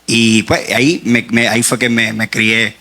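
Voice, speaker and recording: A man from Puerto Rico with a Puerto Rican accent, a guest author giving his personal faith testimony in an earnest, halting way. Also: mid-range voice, little pitch movement, pushed and bright.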